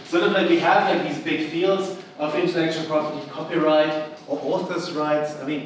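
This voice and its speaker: A middle-aged man with a German accent gives a chatty conference lecture, full of fillers and restarts. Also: on the high side. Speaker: university lecturer. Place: large conference hall. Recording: echoey hall, voice from far off, background noise.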